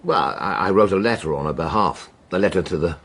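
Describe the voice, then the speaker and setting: Husky, bumbling old-school lawyer. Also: male voice, sits low, fairly quick, fairly bright tone. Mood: hesitant, obliging. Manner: hesitant, bumbling, stop-start. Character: flustered, rambling male lawyer, absent-minded but obliging. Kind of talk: radio drama acting.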